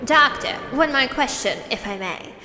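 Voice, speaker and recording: A woman's voice: high voice, animated, moderate pace. An actress playing an insistent, skeptical woman challenging officials in an audio drama, pointed and firm. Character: insistent, skeptical female challenger at press conference. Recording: echoey hall, background noise.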